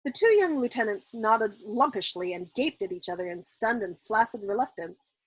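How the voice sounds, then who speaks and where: A woman reading a novel aloud as a storyteller, in expressive narration. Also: fairly high pitch, breathy edge, no echo, poor audio. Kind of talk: expressive audiobook-style narration.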